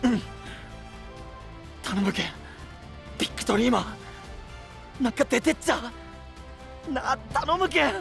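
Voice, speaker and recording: A man's voice: very high-pitched, expressive, measured pace, gravelly, pauses often, fairly bright tone, faint music behind, full character voice. A man playing an earnest, encouraging young knight in a voice drama, heartfelt and pleading, with an Oita dialect from western Japan. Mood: anxious, determined. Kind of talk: anime-style voice drama acting.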